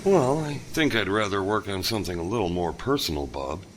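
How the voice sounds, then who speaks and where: An actor playing a kind, lonely older father who lost his son, speaking gently with halting pauses. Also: fairly low pitch, animated, noisy background, natural voice. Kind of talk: audio drama acting.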